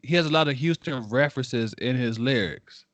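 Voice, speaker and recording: A man co-hosting a podcast, full of playful, teasing banter. His voice sits at an ordinary middle pitch. The sound is close and dry, with no room echo. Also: normal speed, a bit bright. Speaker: podcast co-host. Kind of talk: casual chat.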